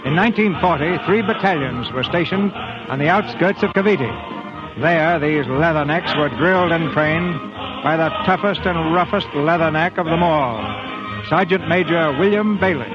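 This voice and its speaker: An American man hosting and narrating a 1940s radio theater program in a formal, measured, polished announcer style. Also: on the high side, highs cut off, over music. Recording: dry, band-limited 1940s radio broadcast.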